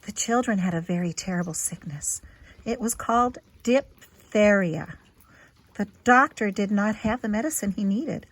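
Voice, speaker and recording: A woman reading a children's picture book aloud in an expressive, teacherly way, with simple asides to young listeners. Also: medium pitch, normal speed, no echo, low-quality recording.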